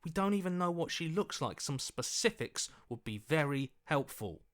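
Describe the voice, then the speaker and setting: A man's voice: fairly high pitch, very animated, normal speed, fairly bright tone. A man playing an earnest, anxious hero for a video game, speaking in a plain, urgent, questioning way. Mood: worried, urgent. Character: earnest, anxious heroic inner voice, adult man. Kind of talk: video game character acting.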